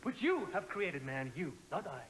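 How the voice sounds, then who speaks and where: An actor in a soap opera, arguing in a heated, defiant manner. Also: male voice, high-pitched, sing-song, normal speed, very noisy.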